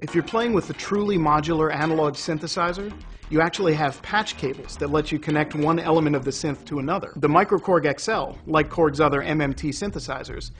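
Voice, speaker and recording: A man's voice: fairly high pitch, a little fast. A man presenting a product in a conversational, explanatory, semi-scripted way. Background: synthesizer music.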